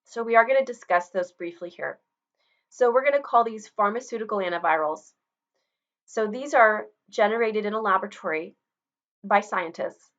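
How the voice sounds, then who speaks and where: A woman's voice: mid-range voice, normal speed, fairly bright tone, dull audio. A middle-aged woman teacher giving a recorded online lecture, explaining in a conversational way with personal asides. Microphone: webcam video recording.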